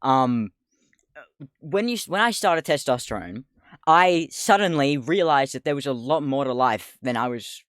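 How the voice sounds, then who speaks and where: Deep-voiced young man rambling on stream. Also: animated, a little slow, a bit bright, smooth, no room sound, studio quality. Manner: rambling, easily distracted, laughs often, swears. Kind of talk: casual livestream chat.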